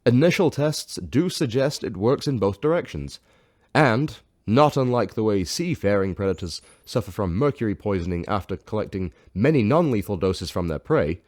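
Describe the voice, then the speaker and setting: An actor playing a serious science professor in a sci-fi radio drama, formal and deliberate. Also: male voice, on the low side, darkish tone, no room sound, clean studio sound.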